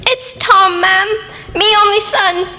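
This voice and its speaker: A woman's voice: extremely high pitch, slow pace, sharp tone, full character voice. A woman playing a poor old village mother, anxious and pleading, with folksy, deferential speech in a radio play. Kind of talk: amateur radio play acting. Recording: echoey hall reverb.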